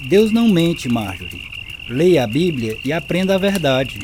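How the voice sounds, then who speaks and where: Earnest, high-voiced male preacher. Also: sing-song, moderate pace, background noise. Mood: earnest exhortation. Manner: solemn, exhorting, scripture-quoting. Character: earnest, firm male evangelical preacher counselling a girl. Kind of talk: radio drama acting.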